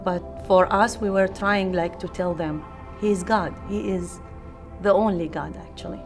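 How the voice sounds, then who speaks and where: A woman's voice: lowish voice, fairly even intonation, unhurried, faint music behind. A woman from Iraq with an Iraqi accent, giving personal testimony in simple, informal phrasing.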